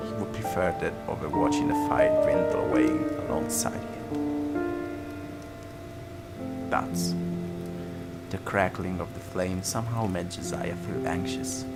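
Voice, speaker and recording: A man with a fairly low voice hosts a visual novel playthrough. He reads the text aloud as a dramatic storyteller, at times breathy and hushed. Game music plays loudly behind him. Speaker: let's play host.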